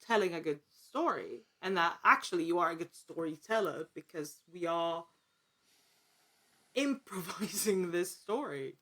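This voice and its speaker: Lower-pitched woman in casual interview. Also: lively intonation, a little slow, bright, forceful tone. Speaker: podcast guest, actor and actual-play storyteller.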